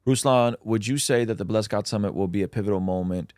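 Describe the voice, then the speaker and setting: A man's voice: on the low side, fast talker, darkish tone, clean tone, no room sound, studio quality. A man, a native Russian speaker, hosting a casual livestream where he reads out chat questions and answers them with plenty of ums.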